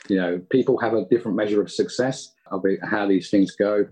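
Husky, low-voiced man chatting. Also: normal speed, a little mellow, low-quality recording. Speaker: podcast guest, indie audio drama creator. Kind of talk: casual interview chat.

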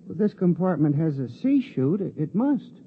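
An actor playing a timid, prissy bookkeeper in a 1950s radio drama, speaking hesitantly with small stammers. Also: male voice, on the high side, very animated, moderate pace, slight background noise. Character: timid, prissy male bookkeeper, mousy and furtive. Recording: tinny old radio broadcast.

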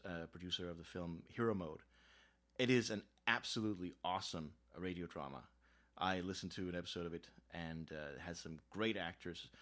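A man giving an informal, enthusiastic promotional introduction, with somewhat halting phrasing. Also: sits low. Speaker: presenter giving a recommendation.

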